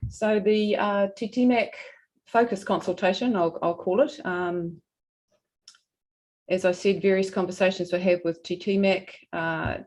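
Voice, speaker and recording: A woman with a New Zealand accent giving a semi-formal presentation to a hearing, speaking haltingly and often correcting herself. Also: mid-range voice, steady tone, darkish tone, low-quality recording. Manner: halting, hesitant delivery with frequent self-corrections. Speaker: regional council representative presenting.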